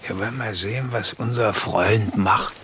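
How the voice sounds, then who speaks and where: An actor playing a wry, cautious, commanding British police inspector in a German radio crime drama, terse and dryly ironic. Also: male voice, on the low side, normal speed, noisy background, close to an everyday voice.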